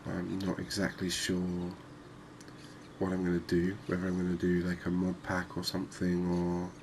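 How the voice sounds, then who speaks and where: A man giving calm, unhurried, soft-spoken ASMR gameplay commentary, musing aloud as he plays. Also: sits low, background noise. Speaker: gaming video host.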